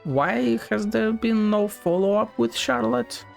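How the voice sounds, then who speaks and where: A man streaming a game with offhand, blunt commentary and some swearing. His voice has a heavy creak, and game music plays faintly behind him. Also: sits high, a little slow. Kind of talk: casual live game commentary, reading dialogue aloud.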